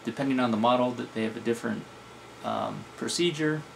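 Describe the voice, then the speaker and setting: A man's voice: medium pitch, brisk, creaky, slight background noise, rough recording. A man in his thirties giving a casual hands-on tech demonstration as a video host. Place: home lab room, indoors.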